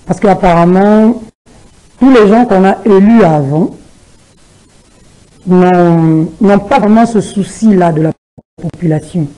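A woman from Kinshasa with a Congolese French accent, answering studio interview questions as a political candidate, with a halting delivery. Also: medium pitch, warm tone, rough recording. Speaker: parliamentary candidate and party spokesperson. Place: television studio. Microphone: gooseneck desk microphone.

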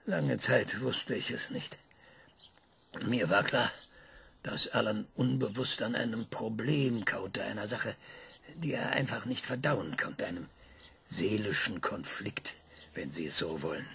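A gravelly, scornful scientist. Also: male voice, lowish voice, unhurried, heavily acted. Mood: brooding. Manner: lecturing, dramatic emphasis with sudden hushed whispers. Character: proud male scientist, sharp and scornful. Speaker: scientist being interviewed. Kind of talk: radio play acting.

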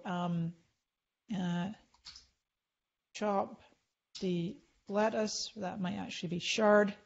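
An upbeat middle-aged woman talks viewers through her screen in a live session, chatty and quick to point things out. Her voice sits at a middling pitch. The stream audio is a little dull. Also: a little mellow. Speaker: social media marketing trainer. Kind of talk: live screen-share tutorial.

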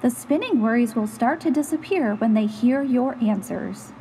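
A woman reading a children's picture book aloud in an animated, instructive, expressive way. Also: on the high side, moderate pace, long reverb tail, slight background noise, poor audio. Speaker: storytime reader and host.

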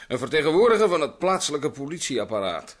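An actor playing a doctor and scientist who works through the night, talking in a jovial, chatty way in an old radio drama. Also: male voice, a little high, big pitch swings, fast talker. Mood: earnest. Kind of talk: vintage Dutch radio drama acting.

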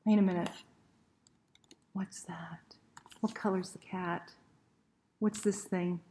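Slow-paced woman hosting children's story time. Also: lowish voice, steady tone, dark tone. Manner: questioning, instructive, addressing young children. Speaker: library story time host. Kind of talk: children's picture book read-aloud and chat. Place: small indoor room. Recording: roomy indoor echo.

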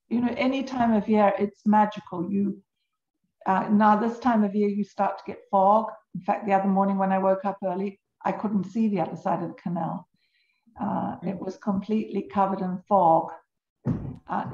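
A woman's voice: medium pitch, a little mellow. Echoey older woman from New Zealand. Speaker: interview guest. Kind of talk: unscripted personal storytelling in conversation. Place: home on a canal in Venice. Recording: echoey room, slightly dull sound.

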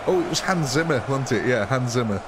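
A man's voice: medium pitch, noisy background. A man hosting a live game stream in casual commentary, cheerful and chatty, laughing often and sniffly with a cold. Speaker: livestream gaming host.

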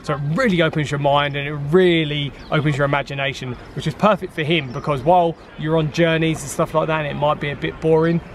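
A man's voice: a little high, steady tone, quick pace, few pauses. A British man in his thirties presenting a casual vlog in an upbeat, chatty way. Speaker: vlog host. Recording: faint urban background noise.

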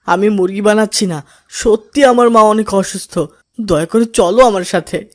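A mischievous cartoon boy, played by a voice actor, with a mid-pitched voice. He is a born trickster who acts out every feeling in a big, theatrical way, made for a children's moral tale. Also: normal speed, lightly acted. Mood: desperate, pleading. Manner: gleeful teasing, swings into wailing pleas. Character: mischievous lying boy who loves tricking friends, later pleading. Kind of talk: Islamic moral cartoon voice acting.

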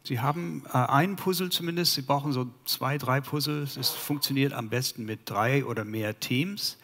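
A man in his fifties from the United States speaking German with an American accent and pausing to search for words, presenting to a conference audience on a headset mic in a large hall. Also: medium pitch, pushed and bright, slight background noise. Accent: American English. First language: English. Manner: halting, searches for German words, many fillers. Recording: long hall reverb.

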